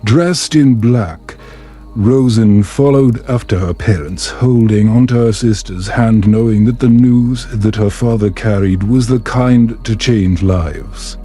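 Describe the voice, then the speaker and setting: A man narrating a scripted bedtime story in a calm, soothing, measured manner. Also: lowish voice, faint music behind. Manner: soothing, measured, unhurried delivery.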